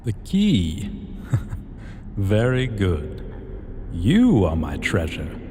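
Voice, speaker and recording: Man acting as a deep, very slow, imperious dragon king. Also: wildly swooping pitch, dark tone, exaggerated voice. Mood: possessive, pleased. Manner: commanding, deliberate, haughty. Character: imperious male dragon king, menacing, possessive, slyly amused. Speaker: ASMR roleplay voice actor. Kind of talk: slow dramatic fantasy ASMR roleplay. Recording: long hall reverb, faint background noise.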